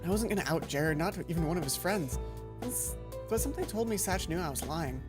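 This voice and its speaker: Woman, lowish voice, let's play host, reading aloud, expressive casual commentary, prominent music behind. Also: fairly quick. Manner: expressive, swearing, stumbles while reading. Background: game music.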